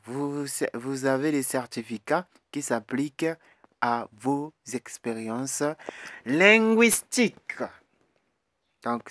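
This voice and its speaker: A man guides viewers through an online form in a loose, chatty tutorial, repeating words and filling pauses as he goes. He sounds slightly out of breath. His voice sits at an ordinary pitch and is recorded dry and close, with no room sound. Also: a bit bright, dry recording. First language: not English; French preferred language. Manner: chatty, repeats himself, many fillers. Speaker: YouTube channel host giving an application tutorial. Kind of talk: casual screen-recorded walkthrough.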